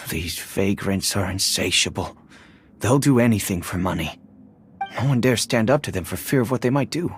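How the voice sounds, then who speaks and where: An actor playing a weary, steady husband and father who talks in a calm, matter-of-fact way. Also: on the low side, moderate pace, slight background noise. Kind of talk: video game voice acting.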